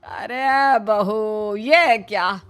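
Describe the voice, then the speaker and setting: A woman voicing a caring, mildly exasperated middle-aged mother-in-law in a cartoon story, gently chiding and motherly. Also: medium pitch, extremely slow, smooth, soft background music.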